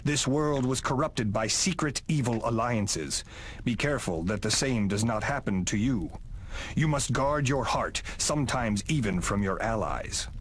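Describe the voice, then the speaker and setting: An actor voicing a wise, stern male god who mentors warriors in a video game, speaking in grave, measured commands. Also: mid-range voice, lively intonation, husky. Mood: solemn. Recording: game audio with loud music and noise.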